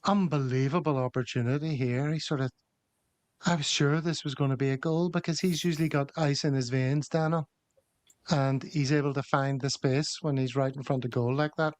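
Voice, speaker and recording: Guy hosting a football chat, mid pitch, dry close sound. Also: steady tone, moderate pace, no room sound. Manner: reads viewer comments, runs through match stats. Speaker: podcast host.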